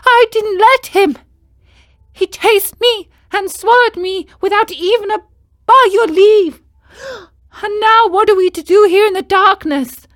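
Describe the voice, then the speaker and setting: A frightened wooden puppet boy from a children's storybook, as a woman reader puts him on. His voice jumps high and loud, breaking into sobbing cries. He sounds eager and desperate to escape. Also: measured pace, no echo, studio quality. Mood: indignant.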